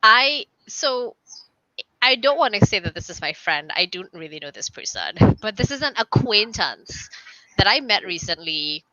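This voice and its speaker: A woman's voice: mid-range voice, sing-song, moderate pace, bright, forceful tone, no room sound, muffled recording. A woman hosting a casual video-call interview, talking emphatically and laughing often. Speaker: host.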